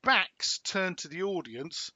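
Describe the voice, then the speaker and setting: A man's voice: high-pitched, animated, takes it slowly, sharp tone, dry recording. An older man hosting a podcast interview, talking in an expansive, enthusiastic way with frequent restarts. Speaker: podcast host. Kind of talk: casual interview chat. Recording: dry, slightly dull podcast audio.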